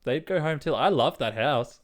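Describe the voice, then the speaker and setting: A man's voice: mid-range voice, expressive, moderate pace. A young man co-hosting a podcast, telling stories casually in a blunt, slangy way with comic exaggeration. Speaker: podcast co-host. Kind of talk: casual chat, storytelling.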